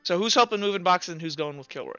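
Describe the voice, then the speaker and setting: High, bright-voiced male game master. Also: animated, quick pace. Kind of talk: casual tabletop game narration. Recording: dull audio with faint music. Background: faint music.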